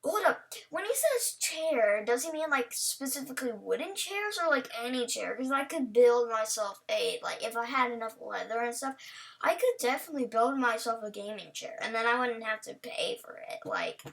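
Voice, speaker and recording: Bright-voiced, high-pitched woman, rambling reaction host. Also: animated, normal speed, creaky. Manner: rambling, playful, talks to the on-screen character. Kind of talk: casual reaction commentary. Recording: voice a bit far from mic.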